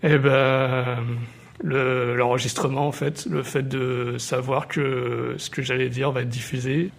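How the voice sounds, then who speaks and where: A man answering informally, a little tired, haltingly searching for words. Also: mid-range voice, flat delivery, creaky. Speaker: workshop participant reading a novel aloud, then interviewed. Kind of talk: hesitant informal interview answers. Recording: noticeable background noise, room ambience.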